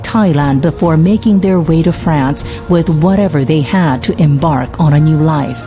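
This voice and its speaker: Mellow, low-pitched woman narrator. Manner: measured, composed. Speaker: narrator and interviewer. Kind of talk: documentary narration.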